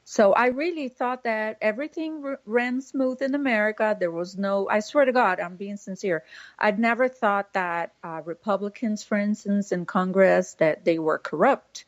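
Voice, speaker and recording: A woman's voice: mid-range voice, normal speed, no room sound. Lady podcast cohost, rambly casual chat, dull lo-fi audio. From Brazil. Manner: chatty, rambling, full of fillers and laughs. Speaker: podcast co-host.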